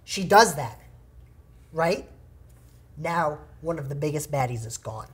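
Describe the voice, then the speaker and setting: A man's voice: a little high, expressive, a little slow, a bit bright. A man in casual banter with friends, building a wild comic story with mock-serious relish. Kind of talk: casual banter, improvising stories.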